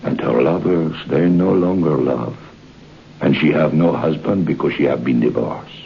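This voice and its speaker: A grave French waiter with an extremely low, creaky voice, telling a dark tale in an old radio drama. He speaks deliberate, formal broken English with a French accent, on a muffled, slightly hissy vintage recording. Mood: somber storytelling. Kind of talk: old-time radio horror drama acting.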